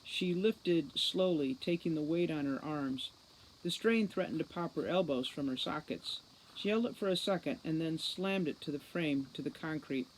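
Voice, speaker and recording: A woman narrating a novel aloud as a storybook reader, in an expressive style. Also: deep voice, moderate pace, slight background noise, poor audio. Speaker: audiobook reader.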